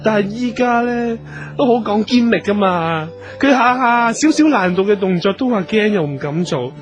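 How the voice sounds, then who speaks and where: A man's voice: high-pitched, lively intonation, moderate pace. An actor playing a fussy, effeminate, clingy male talent manager in a comedic radio drama, with a Hong Kong Cantonese accent and a whiny, auntie-like manner. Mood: pleading, admiring. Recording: music behind the voice.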